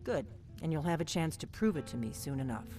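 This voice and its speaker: A woman's voice: sits low, animated, a little fast, mellow. An actress voicing a guarded, driven veteran female agent in a video game, speaking tersely and with command. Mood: grave, urgent. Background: game music.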